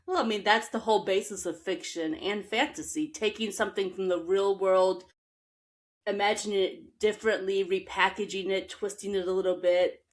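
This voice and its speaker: A woman's voice: medium pitch, steady tone, normal speed. A woman from Texas and the Midwest hosting an interview in a casual, reflective conversational style. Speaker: interview host.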